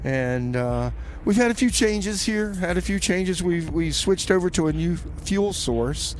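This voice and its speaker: A man's voice: high-pitched, animated, unhurried, a little hiss or hum. A man hosting a casual music radio show, chatty and folksy, often repeating his phrases. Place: radio studio.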